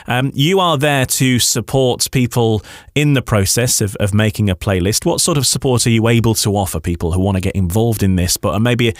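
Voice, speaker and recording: Male radio interviewer, lower register, conversational with halting, repeated phrasing, recorded dry. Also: no room sound.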